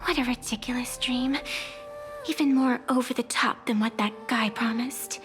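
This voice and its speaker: A woman voicing an enigmatic, world-weary, teasing figure in an anime game monologue, musing theatrically with breathy moments. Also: a little high, steady tone, moderate pace, music in the background, close to an everyday voice. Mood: wistful musing.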